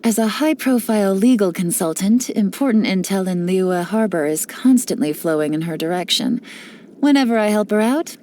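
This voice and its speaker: An actress playing a cool, cunning female spy and information broker, speaking with confident, sly, measured delivery in game voice lines. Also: medium pitch, darkish tone, a little hiss or hum, close to an everyday voice. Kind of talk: scripted character voice lines.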